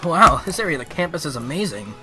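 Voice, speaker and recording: Excitable higher-voiced man streaming a game. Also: fast, over music. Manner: excitable, casual slangy talk. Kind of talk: live gaming commentary mixed with reading game text aloud.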